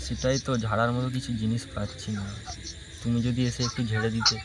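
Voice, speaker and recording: A man's voice: medium pitch, little pitch movement, fairly quick. Young man coaxing over heavy background noise. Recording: clear background noise, ambient hiss and chirping.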